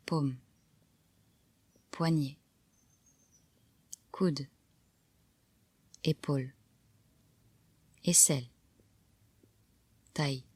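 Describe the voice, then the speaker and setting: A woman guiding a relaxation meditation, giving calm, soft, measured instructions with pauses between phrases. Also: sits low, breathy edge, no room sound. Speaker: yoga nidra guide. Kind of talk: guided relaxation meditation narration.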